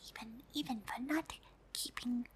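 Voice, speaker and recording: A woman voicing a friendly, curious alien in a whispered ASMR roleplay, halting and politely formal, in a soft breathy voice. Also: a little high. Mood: gentle, curious. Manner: halting, hesitant, politely formal, third-person self-reference. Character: friendly curious pink female alien host, shy and hesitant.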